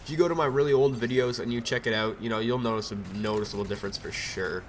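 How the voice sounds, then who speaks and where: A man's voice: mid-range voice, fast, noisy background. Young man with a cold, rambling over gameplay. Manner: rambling, filler-heavy, laid-back. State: has a cold, a bit raspy. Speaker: gameplay commentator. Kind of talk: casual gameplay commentary. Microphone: USB desk mic.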